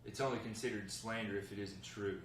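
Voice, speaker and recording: An earnest, hard-up man acting as a drama character with a lowish voice, persuasive and measured. He is heard from a distance in an echoey room with some hiss, reading at a table read. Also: fairly even intonation, a bit bright, lightly acted. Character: earnest, hard-up man bargaining with a gang boss. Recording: roomy, noisy, distant mic.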